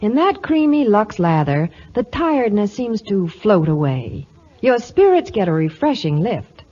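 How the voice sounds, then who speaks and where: A woman's voice: medium pitch, big pitch swings, unhurried, mellow. A woman presenting a scripted 1950s radio advertisement in dialogue style. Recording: muffled old radio broadcast recording.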